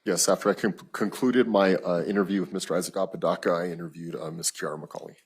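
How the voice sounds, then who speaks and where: American male police witness, very low and husky, formal and halting on the stand. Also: steady tone. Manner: halting, formal, matter-of-fact. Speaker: police detective testifying as a witness. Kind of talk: courtroom testimony. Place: courtroom.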